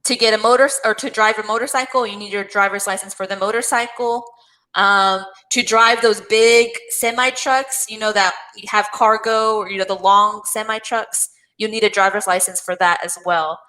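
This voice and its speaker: A woman tutors English over a video call, gentle and encouraging. She murmurs agreement often and explains things patiently. Her voice is bright and clear, at a middle pitch. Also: steady tone, moderate pace, poor audio. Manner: encouraging, gentle, many backchannel affirmations. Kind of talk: casual one-on-one conversation lesson.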